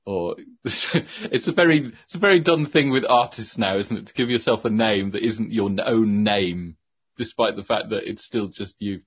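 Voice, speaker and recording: A man's voice: fairly low pitch, moderate pace, slightly rough. A man talking as a guest on a podcast, reflective and a bit hesitant, with light laughs. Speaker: guest, copywriter. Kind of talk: casual conversation. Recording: muffled lo-fi audio.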